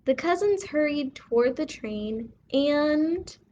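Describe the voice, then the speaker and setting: A woman hosting a bedtime read-aloud for young children, expressive and putting questions to her listeners. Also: sits high, slow pace, a little hiss or hum. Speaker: children's story reader and host.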